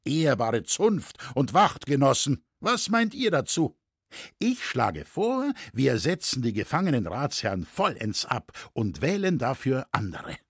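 A man's voice: mid-range voice, breathy edge, dry recording, clean studio sound, pitched above the performer's own voice, exaggerated voice. A man voicing a brash, impatient guildsman in an audiobook, declaiming and arguing with self-assurance. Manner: declamatory, argumentative. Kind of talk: audiobook character acting.

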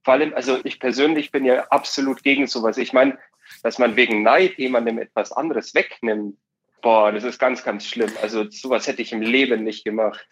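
A man's voice: mid-range voice, fairly even intonation, brisk, a bit bright. A young man chatting casually as a guest, speaking in an emphatic, slangy way. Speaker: interview guest on a call. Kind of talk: casual chat. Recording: dull, lo-fi call audio.

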